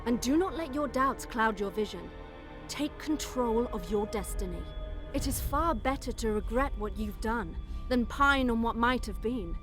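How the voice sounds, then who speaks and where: A woman playing a grave, poised undead necromancer noblewoman in a fantasy game, speaking in measured, formal phrases. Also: a little high, music in the background, lightly acted. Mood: grave, resolute. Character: solemn, poised undead necromancer noblewoman telling her people's history. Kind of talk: scripted fantasy game narration and dialogue.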